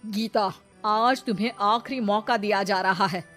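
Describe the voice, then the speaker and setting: A woman voicing a haughty, scolding modern middle-aged mother-in-law in an animated story, sprinkling in English words. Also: mid-range voice, unhurried, soft background music, natural voice. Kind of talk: animated moral story acting.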